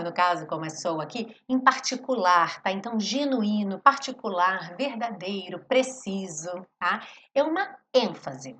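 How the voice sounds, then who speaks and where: A woman teaching an English lesson in a live stream, explaining song lyrics and slowing down to read English phrases with emphasis. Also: mid-range voice, a bit bright, a little hiss or hum. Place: home studio, live stream.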